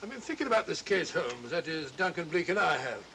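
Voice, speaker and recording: An actor playing a bumbling, genial, self-important older English doctor who blusters and repeats himself in an old mystery film. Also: male voice, fairly high pitch, big pitch swings, fairly quick, vocal fry, bright, forceful tone, heavily acted. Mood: pompous, eager. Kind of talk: 1940s British mystery film acting. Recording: hissy old film soundtrack.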